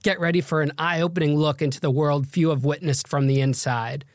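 A man's voice: a little high, steady tone, a little fast, no room sound, clean studio sound. A man hosting a podcast, chatting casually and loosely with his guest, with plenty of fillers. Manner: chatty, hesitant with many fillers. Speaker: podcast host. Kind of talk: casual interview chat.

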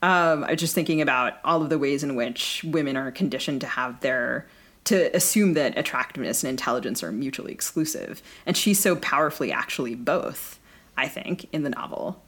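A woman's voice: a bass voice, animated, fairly quick, a bit bright, breathy edge. A woman co-hosting a literary podcast, speaking conversationally in long, winding sentences. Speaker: podcast co-host. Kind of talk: literary interview discussion.

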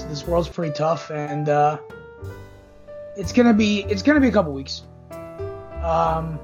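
A young man in his twenties hosts a sports podcast with a hoarse, gravelly voice pitched fairly high. He rambles through plugs and a loose pep talk, and the dull lo-fi recording has smooth jazz playing clearly beneath him. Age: 20s. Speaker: podcast host. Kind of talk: casual sports podcast chat. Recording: dull lo-fi audio with jazz underneath.

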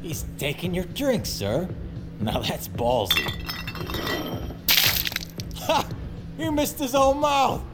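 A woman's voice: on the low side, wildly swooping pitch, slow, long pauses, over loud music, exaggerated voice. An actress playing a villain's gleeful, taunting and sardonic female sidekick in a fiction podcast. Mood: mocking, amused.

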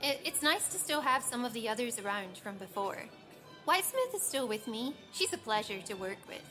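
Young female agronomist: high, earnest, slightly hesitant, natural delivery over prominent music. Audio drama. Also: big pitch swings, fairly quick, sharp tone. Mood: conversational. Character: young female agronomist, dutiful daughter, lonely survivor.

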